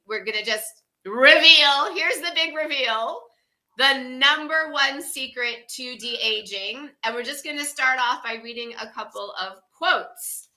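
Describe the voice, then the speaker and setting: A woman's voice: on the high side, sharp tone. A woman in her fifties co-hosting a wellness livestream, talking in a casual, effusive way and laughing often. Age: 50s.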